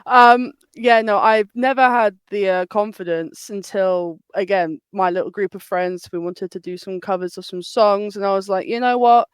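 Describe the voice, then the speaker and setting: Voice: medium pitch, fairly even intonation, normal speed, hardly any pauses, clear, resonant tone, no echo. A young adult guest with a British accent, chatting casually, laughing and swearing freely. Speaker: guest singer. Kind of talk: casual interview chat.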